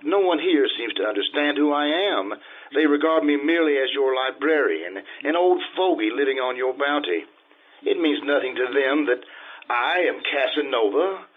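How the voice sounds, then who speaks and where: An actor playing an aging, boastful Casanova in a florid, theatrical old-time radio drama style, through a vintage radio filter. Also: male voice, on the high side. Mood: wounded pride. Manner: florid, theatrical, self-admiring. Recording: tinny vintage radio sound with music.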